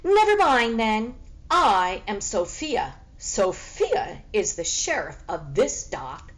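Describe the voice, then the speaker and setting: A haughty, sarcastic she-cat from a children's story, acted out by a woman reader. She is boastful and sassy, with a very swooping, animated delivery that savours its own importance. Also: mid-range voice, takes it slowly. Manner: sassy, grandly refers to herself in third person.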